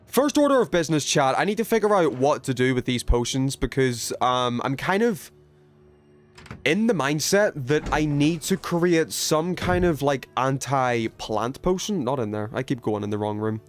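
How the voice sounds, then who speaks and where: Guy streaming a game, chatting to viewers, rambly and sweary, mid pitch, music in the background. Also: expressive, moderate pace. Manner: rambling, thinking aloud, casual swearing. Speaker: livestream host playing a video game. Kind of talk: casual gaming stream chat, reading viewer messages.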